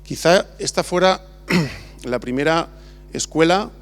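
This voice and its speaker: A man's voice: a little high, slow, faint music behind. Man, middle-aged, from Spain, architect, informal award speech, rambling with fillers. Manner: rambling, many restarts and fillers. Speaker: architect giving an award acceptance talk. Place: large exhibition hall.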